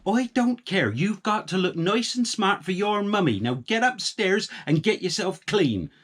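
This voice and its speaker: Man putting on a high-pitched bossy farmer's wife. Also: sing-song, fairly bright tone, heavily acted. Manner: nagging, commanding, drawn-out emphasis. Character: bossy, scolding farmer's wife, fussy about clean clothes. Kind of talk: children's storytelling.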